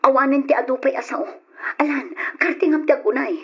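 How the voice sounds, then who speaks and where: A woman's voice: high-pitched, brisk, a bit bright. An actress playing an agitated, bossy wife and mother in an old-style radio drama, speaking in urgent, snappish commands. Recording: dull lo-fi radio drama recording.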